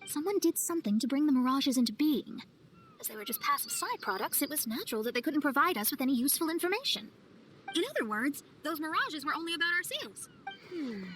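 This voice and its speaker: A woman voicing an earnest, wistful young astrologer in a video game, speaking in a measured, theatrical way. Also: high voice, wildly swooping pitch, a bit bright, a little hiss or hum, full character voice. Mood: thoughtful. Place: studio, with music behind.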